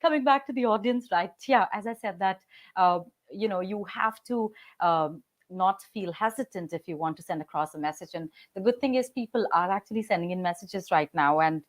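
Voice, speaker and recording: A woman hosting an interview show, lively and animated as she asks questions and addresses viewers. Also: mid-range voice. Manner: lively, animated, quick laughs. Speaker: show host.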